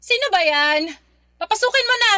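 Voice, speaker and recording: A woman's voice: very high voice, lively intonation, normal speed, bright, forceful tone, no room sound, studio quality, heavily acted. An actress playing a proud middle-aged mother in a struggling household, with emotional, exclaiming delivery in a Filipino radio drama. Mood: wary, curt. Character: Dorothy, proud struggling mother, wary then tearfully grateful.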